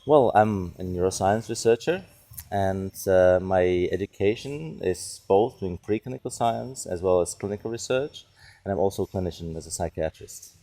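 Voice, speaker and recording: Low-voiced Czech man, mellow and halting. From Czech Republic. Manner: halting, searching for words in non-native English. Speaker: psychiatrist and neuroscience researcher, interview guest. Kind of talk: conversational interview answers.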